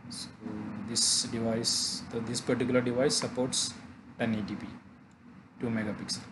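A man narrating a software tutorial haltingly, pausing often mid-phrase. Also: mid-range voice, level tone, noisy background. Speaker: tutorial presenter.

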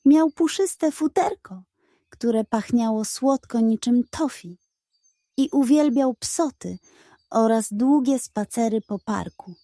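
A woman storyteller narrating a scripted bedtime tale for children in an expressive style. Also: on the high side, slow, no room sound, clean studio sound.

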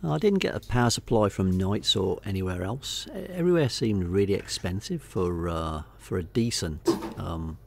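A middle-aged man with an English accent giving a casual, unscripted voice-over walkthrough, hesitating and pausing often. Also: low voice, expressive, gravelly, a little hiss or hum. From England. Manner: hesitant, unscripted delivery with many pauses. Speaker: hobbyist video narrator.

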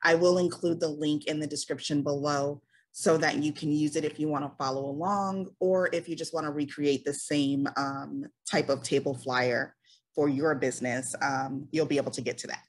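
A woman's voice: low voice, steady tone, moderate pace. Chatty woman, tired scratchy voice. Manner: chatty, many ums. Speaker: business coach. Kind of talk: casual screen-share tutorial.

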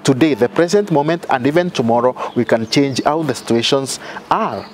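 A middle-aged man, a priest giving a measured sermon outdoors with many fillers and restarts. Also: mid-range voice, soft background music. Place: outdoor garden altar.

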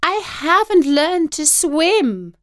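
A woman voicing a skinny, hungry house cat in a storybook reading, with a whining, drawn-out manner. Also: very high voice, very animated, a bit bright, pitched above the performer's own voice, exaggerated voice. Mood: fussy.

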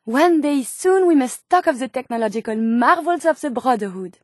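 A high, closely recorded female voice with a French accent, an earnest video game medical robot who is learning to be human. She speaks in formal, carefully chosen words, with open curiosity and tender feeling. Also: moderate pace, dry recording, studio quality. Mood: curious, delighted. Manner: precise, formal, expressive diction. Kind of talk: video game character dialogue.

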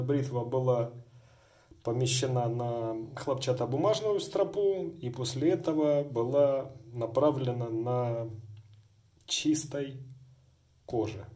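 Middle-aged guy talking shop at his workbench, mellow, rambly and formal. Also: medium pitch. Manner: wordy, formal phrasing, many hedges and asides. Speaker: razor sharpener. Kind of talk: hands-on demonstration. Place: indoors at a workbench.

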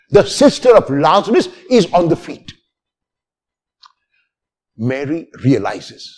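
Older priest preaching slowly with lively pitch. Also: male voice. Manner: animated preaching with sweeping arm gestures. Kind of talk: homily. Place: church sanctuary at a lectern, indoors.

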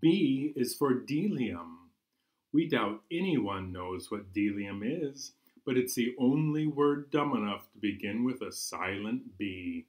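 A man's voice: medium pitch, expressive, slow, a little mellow. A middle-aged man hosting a children's storytime with rhythmic, playful delivery. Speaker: library storytime host. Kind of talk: children's songs and picture-book reading. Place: living room at home.

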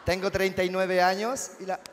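A man's voice: sits high, little pitch movement, normal speed, a little hiss or hum. A Chilean comedian in his forties performing a live stage sketch in an eager, clumsy comic way. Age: 40s. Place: large outdoor amphitheatre stage. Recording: live festival stage with crowd and hall reverb.

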